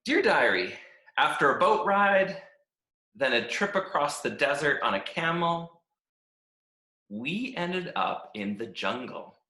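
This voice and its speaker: A man reading a children's storybook as a cheerful, oblivious talking stick, gushing with naive excitement. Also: fairly high pitch, takes it slowly, exaggerated voice. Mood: proud, upbeat. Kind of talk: animated children's storybook read-aloud. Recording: echoey room.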